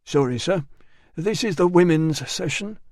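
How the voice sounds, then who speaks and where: Man voicing timid elderly male attendant, polite, raised pitch. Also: animated, measured pace, a little mellow, no echo, clean studio sound. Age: older.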